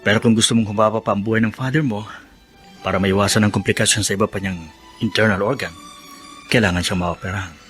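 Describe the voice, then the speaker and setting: Lalaking gumaganap ng seryosong doktor, mababa ang boses. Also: male voice, on the low side, steady tone, a bit bright, faint music behind, lightly acted. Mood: serious, advising. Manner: measured, serious, formal. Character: grave, frank male doctor advising a family. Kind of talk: Filipino radio drama acting.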